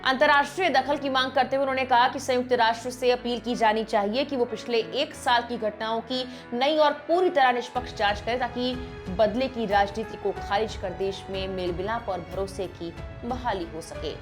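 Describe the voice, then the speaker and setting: Female news presenter, somewhat high voice, formal scripted read with emphasis, light music underneath. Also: normal speed. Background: background music.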